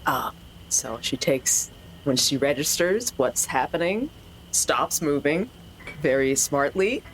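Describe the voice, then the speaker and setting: Female player, very low register, casual and tentative game talk over noticeable background noise. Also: animated, slow, low-quality recording.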